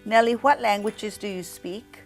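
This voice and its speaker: A woman's voice: medium pitch, measured pace, faint music behind. A woman student interviewing a classmate, politely asking questions and restarting them midway.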